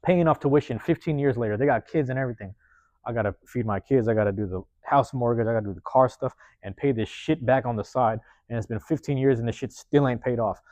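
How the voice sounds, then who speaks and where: A man hosting a podcast from a university room, giving a loose, slangy motivational monologue with lots of repetition, his voice getting a bit hoarse. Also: mid-range voice, fast talker, darkish tone, no echo. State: voice going hoarse. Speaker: podcast host.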